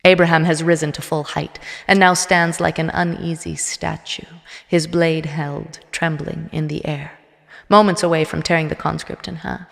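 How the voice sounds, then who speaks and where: A woman's voice: on the low side, vocal fry. A woman playing a tense, conflicted soldier who narrates her own story in a scripted, dramatic audio drama style. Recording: long reverb, music under the voice. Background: dramatic music.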